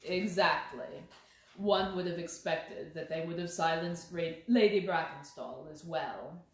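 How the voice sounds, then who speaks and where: A woman voicing a cool, analytical, measured male detective in a storybook reading. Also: lowish voice, very echoey, voice pushed down, close to an everyday voice, rough recording. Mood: cool, inquiring.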